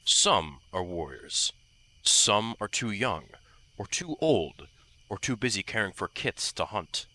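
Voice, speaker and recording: A man voicing a grave, proud male warrior cat, speaking formally and deliberately in an audiobook drama. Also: on the low side, big pitch swings, pushed and bright, heavily acted, rough recording. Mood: matter-of-fact. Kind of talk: audiobook fandub acting.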